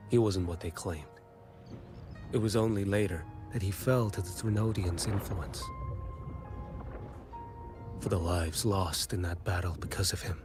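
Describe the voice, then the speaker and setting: A man playing a grave, weary swordsman in a video game, speaking formally in a measured, stoic way. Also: low voice. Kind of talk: scripted video game dialogue.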